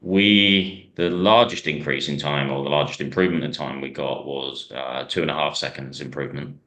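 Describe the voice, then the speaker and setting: A man from Ireland, a racing driver being interviewed, telling stories in a rambling way with many pauses and restarts. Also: extremely low pitch, fairly even intonation, sharp tone. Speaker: hill climb driver, guest. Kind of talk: casual interview chat.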